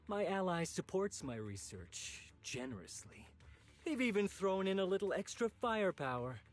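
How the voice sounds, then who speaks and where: Husky, theatrical villainous zealot. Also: male voice, fairly high pitch, exaggerated voice. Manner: theatrical, taunting, sneering villain delivery. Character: ambitious ruthless male zealot experimenter, bitter and mocking. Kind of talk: dramatic video game voice acting.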